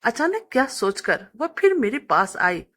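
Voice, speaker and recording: Woman narrating with lively, swinging pitch. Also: unhurried. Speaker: story narrator. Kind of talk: scripted first-person story narration with acted dialogue.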